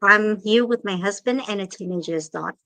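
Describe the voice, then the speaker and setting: Vietnamese-accented woman, bright crisp voice, dry close sound, friendly. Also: medium pitch, brisk, no echo. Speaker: guest panelist. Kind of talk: casual live-stream introduction.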